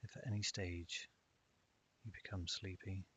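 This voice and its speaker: A man narrating a guided meditation in a calm, measured way with long pauses. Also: sits low. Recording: dull lo-fi audio with hiss.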